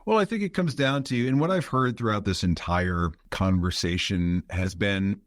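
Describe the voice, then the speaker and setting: A man hosting a conversational interview, speaking in a reflective, articulate way with measured phrases. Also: fairly low pitch, no echo, studio quality. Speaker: podcast host, interviewer.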